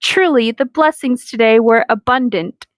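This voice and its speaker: Female comedy podcast co-host, higher voice, dry close sound, sarcastic and exasperated, sweary. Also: moderate pace, dry recording. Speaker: podcast co-host reading fan fiction aloud. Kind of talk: casual comedic commentary and read-aloud.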